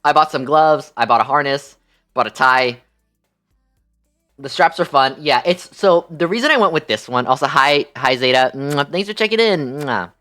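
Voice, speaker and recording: A man's voice: a little high, lively intonation, moderate pace, pushed and bright, soft background music. A young man livestreaming, chatting casually with his viewers in a playful, affectionate manner.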